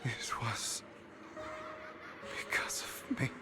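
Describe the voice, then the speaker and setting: An actor playing a guilt-ridden, brooding young man in a video game, speaking in a hushed, halting way. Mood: guilty. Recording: music behind the voice. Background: music.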